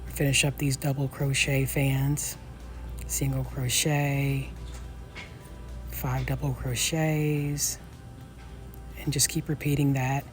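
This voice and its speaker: A woman's voice: a bass voice, flat delivery, slow pace, long pauses, over music. A woman who is losing her voice, patiently teaching a crochet pattern step by step, repeating each step and counting stitches aloud. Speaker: crochet instructor. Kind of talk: step-by-step tutorial.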